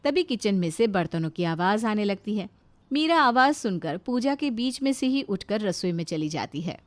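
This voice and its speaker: A woman's voice: mid-range voice, animated, normal speed, no echo. A woman reading scripted narration for a family moral story.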